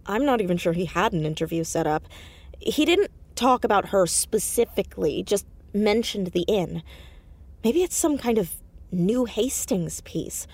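A woman playing an anxious, earnest high school girl in an audio drama, hesitant but insistent as she talks. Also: lively intonation, a little slow, a little mellow. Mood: thoughtful. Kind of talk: naturalistic audio drama acting.

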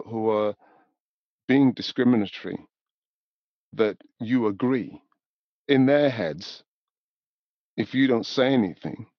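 A man, talking thoughtfully and in a measured way as an interview guest. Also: medium pitch, a little mellow, no room sound, highs cut off. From north of England, born in Newcastle. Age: 70s. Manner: thoughtful, measured, with repeated "you know". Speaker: podcast guest, music industry professional. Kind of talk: reflective interview conversation.

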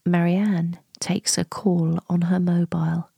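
A woman playing a weary, grieving middle-aged niece in an intimate radio drama monologue, breathy, hushed and deliberate. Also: lowish voice, little pitch movement, no echo, heavily acted. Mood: hushed, tense. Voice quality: breathy, whispery.

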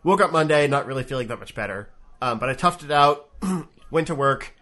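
A man's voice: medium pitch, animated, fairly quick, pushed and bright. A man co-hosting a casual online show, congested and sniffly from a cold, rambling with lots of fillers and restarts. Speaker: podcast co-host. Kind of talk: casual chat. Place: home room. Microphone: webcam setup, remote call.

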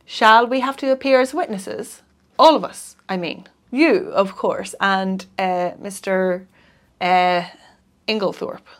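Stiff, hesitant gentleman of the house, read by a woman. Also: a little high, big pitch swings, normal speed.

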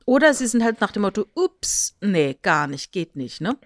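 Eine Frau mit deutschem Akzent plaudert als Podcast-Co-Moderatorin, erklärt erzählend, spielt Zitate nach und sucht mit kleinen Nachfragen Zustimmung. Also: female voice, medium pitch, very animated, moderate pace, no room sound, clean studio sound. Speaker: podcast co-host. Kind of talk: casual podcast chat, explanatory retelling.

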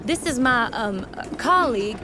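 A woman's voice: on the high side, animated, measured pace, over music. A woman playing an exasperated, formal officer in an audio drama, articulate and wry with clipped politeness. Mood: flustered politeness.

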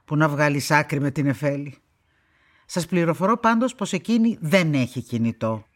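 A woman's voice: low voice, expressive. Stern, guarded old farm woman. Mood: dismissive. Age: older. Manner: dismissive, curt. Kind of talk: naturalistic radio drama acting.

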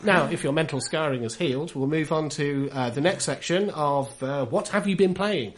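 A British man hosts a jokey gaming podcast. His voice sits a little high for a man, and he speaks in a wordy, mock-formal style with his tongue in his cheek. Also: normal speed, slight background noise. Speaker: podcast host. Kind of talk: jokey scripted gaming podcast, reading letters.

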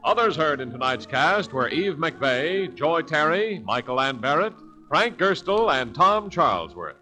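A polished American male announcer reads old-time radio credits over music. His voice sits fairly high and is very animated and emphatic. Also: measured pace, poor audio.

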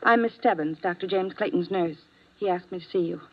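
An actress playing an anxious young nurse in a 1950s radio drama, speaking hesitantly. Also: female voice, fairly low pitch, a little fast. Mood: worried. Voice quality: worried, hesitant. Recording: lo-fi vintage radio recording.

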